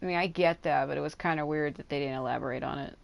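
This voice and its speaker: Woman, low voice, game livestreamer, casual commentary, musing, informal. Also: quick pace, creaky.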